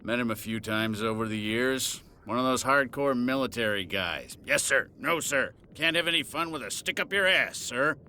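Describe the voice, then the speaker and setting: A man's voice: medium pitch, expressive, normal speed, few pauses, sharp tone, a little hiss or hum. An actor playing a disgraced middle-aged policeman in a video game, boozy, sardonic and sneering. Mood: mocking.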